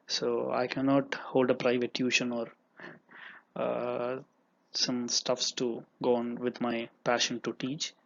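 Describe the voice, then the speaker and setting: A young man whose first language is Tamil, teaching economics in an earnest lecture with frequent fillers and repeats. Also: medium pitch. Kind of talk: lecture with examples. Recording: dull lo-fi recording.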